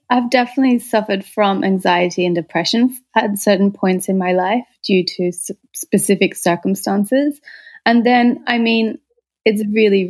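A woman's voice: medium pitch, darkish tone. A woman from Austria who moved to Australia as a child, speaking candidly and reflectively as an interview guest. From born in Austria, moved to Australia as a child. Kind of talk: personal storytelling in a conversational interview.

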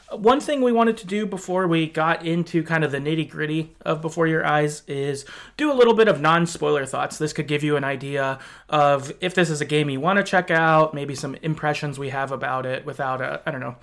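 A man's voice: a little high, normal speed, a bit bright. A man hosting a podcast in a casual, agreeable and affirming way. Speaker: podcast host.

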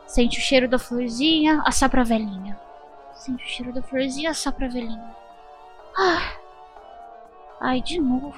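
A woman playing a tabletop game, gently narrating her child character's morning in the third person. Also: mid-range voice. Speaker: tabletop player.

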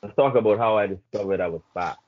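Bahamian guy in his 30s, really low voice, casual chat, sounds like hes on the phone. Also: fast, no room sound. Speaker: guest, speed coach. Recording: thin phone-like line.

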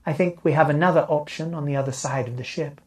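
Guy doing a calm female space rescue pilot in an audio story, pitched up, composed, bit husky. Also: quick pace.

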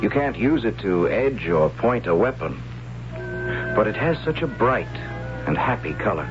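A good-natured, hapless young man who stumbles over his words and shrugs off his own failings. His voice sits on the low side. The sound is dull and muffled, with clear music underneath, like an old radio drama broadcast. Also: big pitch swings, unhurried. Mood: wistful. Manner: easygoing and self-deprecating, hesitant. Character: cheerful, hapless young man, son of a high priest. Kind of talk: 1970s radio drama acting.

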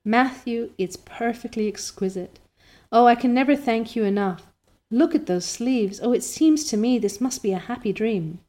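A woman's voice: a little high, moderate pace, a little mellow, voice pushed up, natural voice. A woman voicing an imaginative, rapturous orphan girl who gushes with breathless enthusiasm, reading a storybook aloud.

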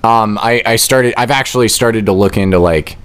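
A man's voice: lowish voice, moderate pace, smooth. A young man talking casually as a guest on a podcast. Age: young adult.

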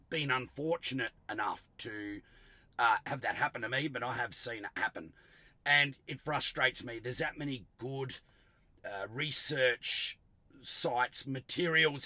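Australian male podcast host, middle-aged, gravelly with a sore throat; punchy bright tone, blunt and salty, very dry recording. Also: mid-range voice, dry recording. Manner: blunt, emphatic, salty-tongued. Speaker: host of an automotive tuning show. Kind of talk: casual solo podcast talk.

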